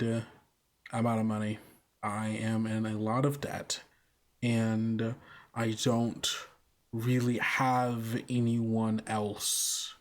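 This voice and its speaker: A man playing an awkward, down-on-their-luck drifter in improvised tabletop roleplay, speaking in a halting, hesitant way. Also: lowish voice.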